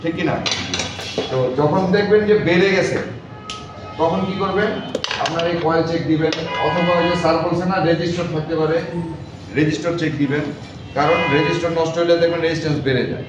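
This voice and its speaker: Male instructor, higher-pitched voice, quizzing and repetitive, captured in a heavily reverberant classroom. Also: fairly even intonation, fast talker, over music. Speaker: electronics repair instructor. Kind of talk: classroom lecture with check questions. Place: echoey indoor classroom. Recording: very echoey room, far mic, clear noise, dull.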